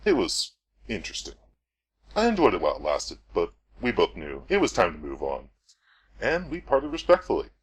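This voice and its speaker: A man playing a calm, self-assured lawyer under questioning in an amateur radio play. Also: low-pitched, moderate pace, breathy edge, exaggerated voice.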